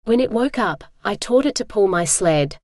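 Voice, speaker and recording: A woman voicing a strong, calm male Norwegian worker who teases in a matter-of-fact, formal way. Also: medium pitch, normal speed, no echo, clean studio sound, natural voice. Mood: calm, teasing.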